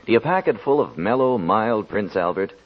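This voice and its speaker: A man's voice: fairly low pitch, animated, normal speed. A man reading a scripted commercial as a 1940s radio announcer. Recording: muffled 1940s radio recording.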